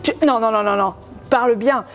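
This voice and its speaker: A woman's voice: on the high side, normal speed, faint music behind. A woman in a couple quiz, bantering playfully, teasing her partner and laughing often. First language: French.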